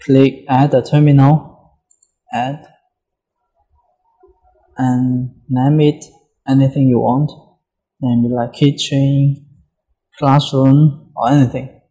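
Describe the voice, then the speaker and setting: Slow, flat, subdued male software instructor. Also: medium pitch, highs cut off. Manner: halting, often pausing mid-sentence. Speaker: software tutorial presenter. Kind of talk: unscripted step-by-step software walkthrough.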